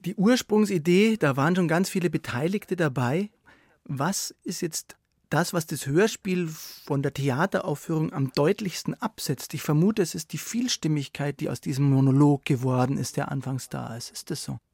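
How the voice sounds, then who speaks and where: A man's voice: a little high, moderate pace, a little mellow, breathy edge, no room sound. A man hosting a cultural radio interview, introducing and asking questions.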